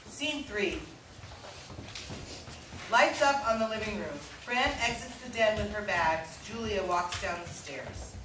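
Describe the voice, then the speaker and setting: A woman's voice: medium pitch, lively intonation, takes it slowly, far from the mic. A woman reading scripted stage directions as the narrator of a staged play reading. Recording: echoey room from afar, faint noise.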